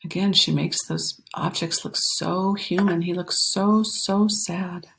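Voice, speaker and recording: A woman reading a children's picture book aloud in an expressive, animated way, pausing for emphasis and talking to young listeners. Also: fairly low pitch, creaky. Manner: animated, explanatory, pausing for emphasis and dropping to hushed phrases. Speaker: storytime reader.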